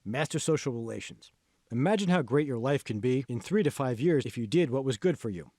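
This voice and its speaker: An earnest mentor played by a man lectures with emphatic, instructive force. His pitch moves in lively swings, in a close, dry audiobook recording. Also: a little fast, no echo. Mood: earnest, encouraging.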